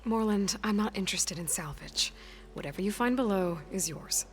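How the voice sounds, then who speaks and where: A woman's voice: medium pitch, moderate pace, faint music behind, close to an everyday voice. An actress playing a determined young woman hunter who speaks tersely in a video game cutscene. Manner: terse and focused.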